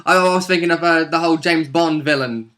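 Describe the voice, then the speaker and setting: Guy on the higher side, bright crisp edge, chatting casually thru his moves in an rpg session. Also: normal speed. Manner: informal, chatty. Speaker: tabletop role-player. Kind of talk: casual recap of own game turns.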